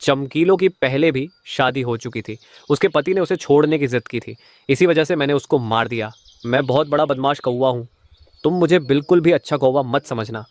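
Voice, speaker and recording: A man's voice: medium pitch, moderate pace, no room sound, close to an everyday voice. A man playing a boastful thug crow brother. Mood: boastful, threatening. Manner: boastful, threatening. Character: menacing thug crow, protective brother of the bride. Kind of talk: Hindi moral-story cartoon dialogue.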